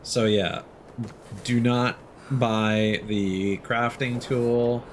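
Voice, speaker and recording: A man's voice: mid-range voice, steady tone, extremely slow. A man giving casual live game commentary, muttering joking asides to himself. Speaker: game streamer. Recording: clear background game noise. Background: video game sound.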